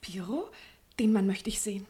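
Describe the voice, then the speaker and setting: A woman's voice: medium pitch, animated, normal speed, mellow, natural voice. An actress playing a curious young peasant woman in a classic comic radio play. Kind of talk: German radio play acting of classic comedy.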